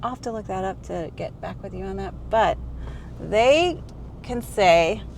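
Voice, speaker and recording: A woman's voice: medium pitch, lively intonation, a little slow, creaky, a little mellow. A middle-aged woman vlogging casually, rambling and correcting herself with bursts of enthusiasm. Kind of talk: casual chatty vlog. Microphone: small handheld camera mic. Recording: noisy car and street ambience.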